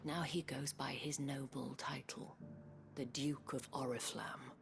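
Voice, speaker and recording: An actress playing a worldly, anxious dame in a video game, measured, eloquent and weary, with a breathy, hushed delivery. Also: female voice, rumbling low, steady tone, over music, exaggerated voice. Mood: troubled. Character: worldly, anxious dame looking after her wards. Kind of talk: scripted video game drama.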